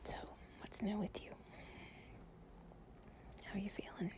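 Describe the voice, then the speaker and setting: A woman playing an affectionate, playful girlfriend in an improvised bedtime cuddle audio, speaking softly and breathily, often near a whisper, in a rambling way. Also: measured pace, close to an everyday voice. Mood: cozy, tired. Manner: soft, rambling, affectionate, giggly. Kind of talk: improvised intimate relaxation roleplay audio, ASMR-like.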